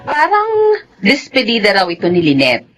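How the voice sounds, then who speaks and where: A trusting married Filipina sister in a radio drama. Her medium voice is animated and easygoing, over faint noise. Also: medium pitch, moderate pace.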